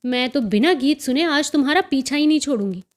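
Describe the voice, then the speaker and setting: A woman's voice: high-pitched, expressive, a little fast. A woman voicing a cheerful, teasing young sister-in-law in a story, with melodious, coaxing banter. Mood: playful coaxing.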